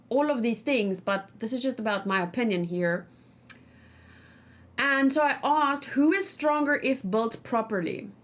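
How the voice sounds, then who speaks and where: A woman gaming streamer talking casually and informally, rambling and thinking aloud as she hosts a let's play. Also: on the high side.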